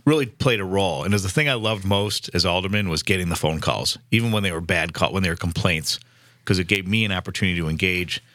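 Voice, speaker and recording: A man's voice: sits low, fast talker, a bit bright, dry recording, clean studio sound. A man in his fifties hosting a casual, earnest conversation, with restarts along the way. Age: 50s. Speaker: host.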